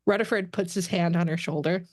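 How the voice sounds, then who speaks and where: A woman's voice: fairly low pitch, fairly even intonation, a little fast, no echo, clean studio sound. A woman narrating the stage directions of a script as scripted narration.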